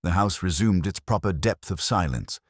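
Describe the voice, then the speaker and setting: A man's voice: sits low, lively intonation, moderate pace, husky, no echo, studio quality. A man narrating a detective story in the first person, reading from a script. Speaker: audiobook narrator.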